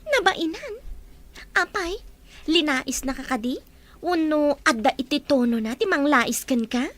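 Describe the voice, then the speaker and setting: Feisty best friend for radio drama, played by an actress: sassy young woman, extremely high pitch, animated, brash. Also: unhurried. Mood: nosy, pushy. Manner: brash, teasing. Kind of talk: Ilocano radio drama acting.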